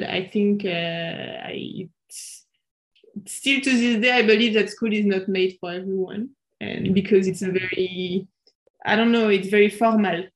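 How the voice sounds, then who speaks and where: A woman from France with a French accent, a planetary scientist answering interview questions in a thoughtful, hesitant way with many fillers. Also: mid-range voice.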